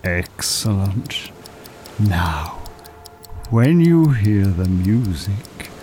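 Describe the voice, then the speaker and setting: An actor playing a smooth, calculating Irish con-artist hypnotist in an audio drama, soothing and commanding in a deliberate way. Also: male voice, fairly low pitch, very animated, dark tone, slight background noise, full character voice.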